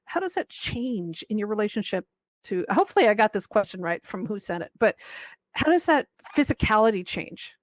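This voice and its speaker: A woman's voice: mid-range voice, sing-song, fairly quick, no echo. Rambling woman moderating, very dry sound. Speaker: panel moderator. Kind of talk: informal panel moderation. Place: virtual reality event, voice over personal microphone.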